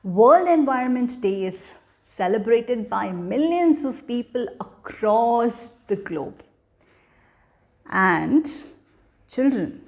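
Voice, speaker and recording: A woman giving an educational talk for children, speaking in a deliberate, teacherly manner as a storyteller and host. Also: medium pitch, creaky, darkish tone. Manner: teacherly, deliberate, asking questions then answering them.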